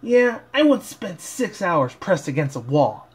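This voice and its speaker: A man voicing a brash, boastful young female cartoon pegasus pony with drawn-out delivery. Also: high-pitched, very animated, husky, voice pushed up, exaggerated voice.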